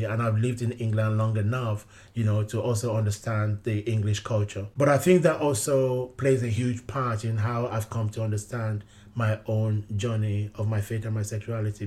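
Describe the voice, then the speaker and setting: A man's voice: lowish voice, darkish tone. Earnest male pastor. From Nigeria. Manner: measured, earnest, frequent "you know" fillers. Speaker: pastor and interview guest. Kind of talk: reflective interview answers.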